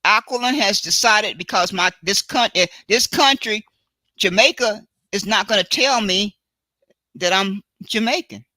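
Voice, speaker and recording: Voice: medium pitch, measured pace, pushed and bright, no room sound. A person from the United States hosting a livestream, lecturing in a colloquial, emphatic way that hammers the same phrases over and over. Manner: repetitive, preachy, hammers phrases home. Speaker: livestream host. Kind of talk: opinionated livestream monologue.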